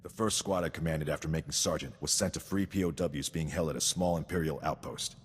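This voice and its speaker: An actor playing a stern, dutiful sergeant in a video game, speaking formally and precisely, coldly devoted to victory. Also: male voice, extremely low pitch, fairly quick, breathy edge, in a large hall. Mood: stoic. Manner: formal, precise, recites statistics. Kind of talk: scripted video game acting.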